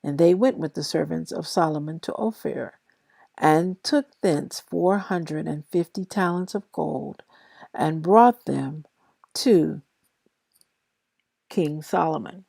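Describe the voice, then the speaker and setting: A woman who sounds a little tired, reading scripture aloud in measured, deliberate short phrases as the host of a daily reading. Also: deep voice, vocal fry, warm tone, dry recording. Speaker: Bible reader and host of a daily reading series. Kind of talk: scripture read aloud with brief casual introduction.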